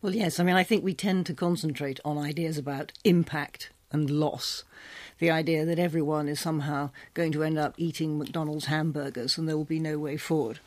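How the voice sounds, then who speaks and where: A female academic answers a radio interview in a thoughtful, explanatory way. Her voice is fairly low and calm. The studio sound is close and dry. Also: a little fast, dry recording. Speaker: guest academic. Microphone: studio microphone.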